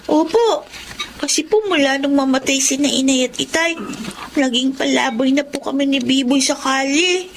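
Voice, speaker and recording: A woman's voice: high voice, moderate pace, a little hiss or hum. A woman playing a polite, timid orphaned street girl in a Filipino radio drama, speaking with grateful deference.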